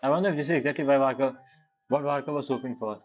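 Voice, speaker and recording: A woman's voice: extremely low pitch, racing, a little mellow. A woman who is not a native English speaker, giving casual, hesitant, reactive commentary as she streams a game. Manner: chatty, reactive, hesitant phrasing. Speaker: gaming streamer. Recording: slightly dull mic, game music behind.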